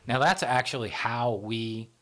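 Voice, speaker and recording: A man who founded a channel, explaining in a relaxed casual conversation, with many fillers and restarts. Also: mid-range voice, unhurried.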